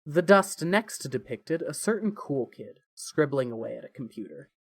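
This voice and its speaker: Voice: medium pitch, lively intonation, moderate pace, no echo. A narrator reading scripted fiction in an audio story.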